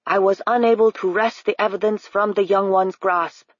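A woman's voice: medium pitch, steady tone, moderate pace, dry recording, dull audio, lightly acted. A woman voicing a nervous, humble male constable in his thirties who apologizes in a stiff, overly formal way.

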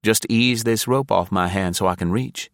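Young male captive, storybook drama, done by a male reader: folksy, cajoling, forced playfulness over desperation, lifted above a deep register, dry sound. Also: normal speed, dry recording, natural voice. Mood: pleading playfulness. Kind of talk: audiobook character acting.

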